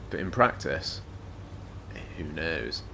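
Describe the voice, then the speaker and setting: Man, croaky, very deep voice, gravelly fry, rambling gameplay commentary. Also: expressive, slow, background noise. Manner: rambling, self-mocking asides. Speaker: gaming YouTuber.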